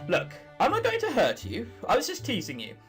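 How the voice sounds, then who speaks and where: A man's voice: very high-pitched, huge pitch swings, brisk, fairly bright tone, faint music behind, exaggerated voice. A man voicing a teasing, exasperated young male unicorn character in a visual novel. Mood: reassuring. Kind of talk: visual novel dialogue read aloud.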